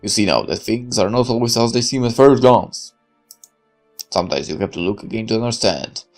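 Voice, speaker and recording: A man voicing a stern, commanding factory master in a visual novel, measured, formal and authoritative. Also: lowish voice, pitched below the performer's own voice, lightly acted. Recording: music under the voice.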